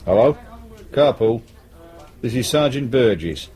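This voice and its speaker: An actor playing a brisk, world-weary British detective sergeant in a radio drama, reassuring but patronising and colloquial. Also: male voice, mid-range voice, animated, warm tone, close to an everyday voice. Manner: matter-of-fact, patronising, colloquial.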